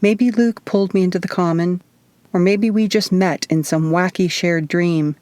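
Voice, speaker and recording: A woman's voice: fairly low pitch, steady tone, moderate pace, darkish tone, dry recording. A woman narrating a first-person story as an author reading her own audiobook.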